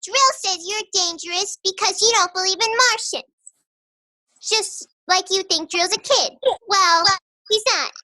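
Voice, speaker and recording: A woman's voice: extremely high pitch, unhurried, bright, forceful tone, full character voice. A woman playing a blunt, cheerful, matter-of-fact young girl in a radio play. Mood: eager, insistent. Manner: childlike, blunt.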